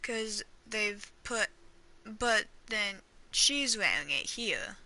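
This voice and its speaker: Female gamer, bright forward voice, unhurried, rambling casual commentary with hesitant trailing thoughts. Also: medium pitch, expressive. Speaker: gamer reacting and theorizing during a playthrough. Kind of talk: casual gameplay commentary, thinking aloud.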